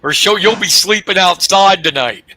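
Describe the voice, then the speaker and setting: A man's voice: high-pitched, expressive, moderate pace, pushed and bright, dry recording. A man, co-hosting a sports talk show in a friendly, teasing way. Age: 50s. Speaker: co-host.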